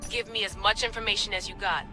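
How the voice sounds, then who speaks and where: A woman playing a determined, cautious researcher-adventurer in a game, speaking in a measured, dry, conversational way. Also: mid-range voice, fairly even intonation, lightly acted, rough recording. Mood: focused, wary. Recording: music behind.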